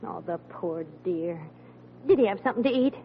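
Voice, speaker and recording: Fretful tender mom, highish voice, old tinny hissy radio comedy. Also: female voice, expressive, fairly quick. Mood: tender, anxious. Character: anxious, tender mother and wife. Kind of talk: 1940s radio sitcom acting.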